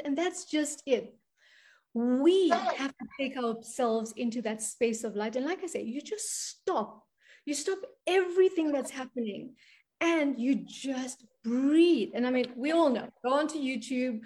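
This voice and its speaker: Earnest high-voiced woman coach, mellow tone. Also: measured pace. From South Africa. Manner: emphatic, earnest, often adds "right?". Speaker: money and spiritual coach, guest. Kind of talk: conversational interview.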